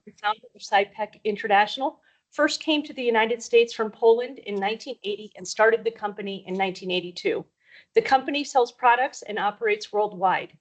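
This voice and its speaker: A woman's voice: mid-range voice, low-quality recording. Woman reading a formal introduction. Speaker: economic development representative.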